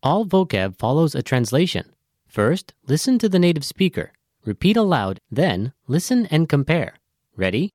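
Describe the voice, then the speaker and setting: A man's voice: fairly high pitch, sing-song, moderate pace, darkish tone, no echo, studio quality. A man hosting a vocabulary lesson, reading scripted instructions to listeners. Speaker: host of a language vocabulary lesson.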